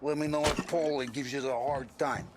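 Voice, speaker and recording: A man's voice: medium pitch, steady tone, fairly quick, noisy background, close to an everyday voice. An actor playing a friendly male mobster who hands out weapons, with slangy street talk. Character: jovial male mobster arms supplier, helpful. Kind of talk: video game cutscene acting.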